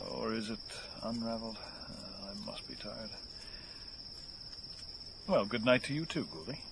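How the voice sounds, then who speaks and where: An actor playing a weary, desperate man on the run in an audio horror drama, halting and theatrical, musing with sardonic wit. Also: lowish voice, very noisy. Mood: drowsy, muttering. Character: weary desperate male fugitive, rambling, wry, unravelling.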